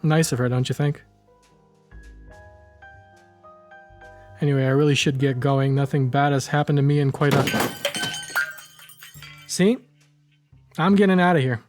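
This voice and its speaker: A man's voice: mid-range voice, normal speed, long pauses, darkish tone, faint music behind, natural voice. A man playing a cocky, wry tough guy who narrates his own hardboiled noir crime story in slangy language. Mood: breezy, wry.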